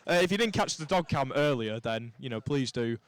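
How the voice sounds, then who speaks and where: A young man from St Helens, giving lively live rugby commentary with chatty asides. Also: mid-range voice, brisk, dry recording. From St Helens, England. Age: young adult.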